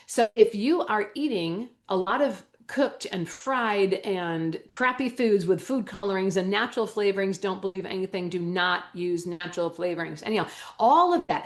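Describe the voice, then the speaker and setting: Enthusiastic woman with a Wisconsin accent. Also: mid-range voice. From Wisconsin, United States. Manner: enthusiastic, emphatic, uses everyday analogies. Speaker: natural medicine school owner and teacher, interview guest. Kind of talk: animated explanatory interview talk.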